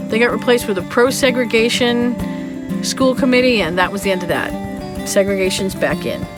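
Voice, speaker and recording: A woman's voice: a little high, normal speed, over loud music. A woman vlogger narrating history in a casual, conversational way with wry, playful asides to her viewers.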